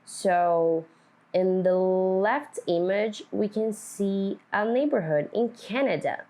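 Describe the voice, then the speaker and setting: A woman teacher reading a picture book aloud to children, speaking deliberately and putting simple questions to them. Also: mid-range voice, warm tone. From Brazil.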